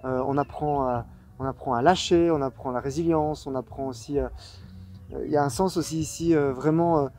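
A man's voice: a little high, fairly even intonation, a little fast, dark tone. A man in his thirties, a volunteer, talking casually and hesitantly outdoors, often searching for words. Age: 30s. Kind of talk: casual testimonial. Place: outdoors in a garden.

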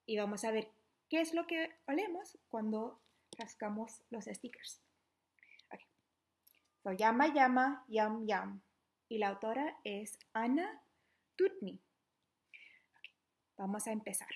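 A young adult woman reading a children's book aloud interactively, in an animated, playful, questioning manner. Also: fairly high pitch, slow, long pauses. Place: small living room at home, indoors.

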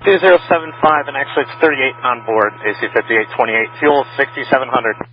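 A man of medium pitch reads back instructions as a pilot, quickly and in clipped phrases. The aircraft radio sounds dull. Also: music in the background. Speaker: airline pilot. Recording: muffled air traffic radio.

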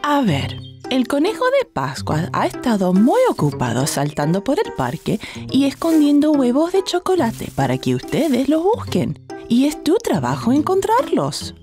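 Kindly cartoon mother, highish voice, hugely expressive, patient, soft music behind. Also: a little slow, exaggerated voice. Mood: encouraging. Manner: patient, explaining to a child. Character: encouraging, kindly mother of small children. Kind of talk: children's cartoon dubbing.

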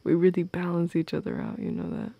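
A young woman in an intimate girlfriend audio roleplay, speaking softly and close to the mic with playful, teasing affection. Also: lowish voice, wildly swooping pitch, a little fast, creaky, dry recording, muffled recording. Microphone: close microphone, dry.

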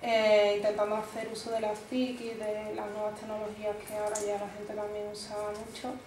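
A woman working as an environmental consultant, introducing herself at a meetup in a steady, explanatory way. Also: medium pitch, fairly quick. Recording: echoey room with background noise.

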